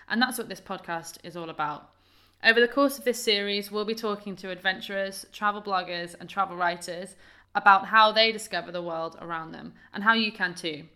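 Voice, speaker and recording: A woman's voice: mid-range voice, sharp tone. A British woman hosting a podcast, chatting eagerly and laughing easily with her guest. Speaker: podcast host. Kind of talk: casual interview chat. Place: indoors in a home.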